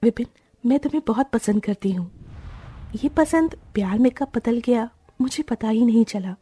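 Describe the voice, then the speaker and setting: A woman voicing a spirited young bride from a wealthy home, emotional and pleading. Her tone is mellow and a little husky, recorded dry and close. It suits a cartoon moral story. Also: a little high, moderate pace, no echo. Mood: earnest. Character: rich young bride, spirited, kind-hearted.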